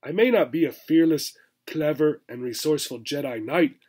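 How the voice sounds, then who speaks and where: Earnest young female gerbil played by a man, raised pitch, indignant, pleading, storybook character. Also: normal speed, a little mellow, slightly rough. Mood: forlorn musing. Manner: pleading, protesting.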